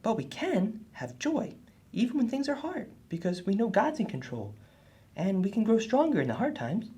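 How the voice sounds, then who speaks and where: Woman as husky, animated boy puppet, Nathan. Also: medium pitch, normal speed. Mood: earnest. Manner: explanatory, earnest. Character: earnest, helpful boy puppet friend named Nathan. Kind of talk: children's puppet show lesson.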